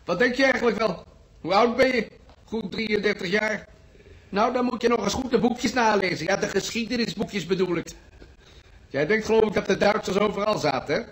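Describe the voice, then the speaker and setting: Man doing sarcastic know-it-all hospital patient in a radio drama, high pitched, lecturing. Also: brisk, close to an everyday voice, low-quality recording. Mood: scornful. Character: scornful, pedantic long-term male hospital patient, needling others.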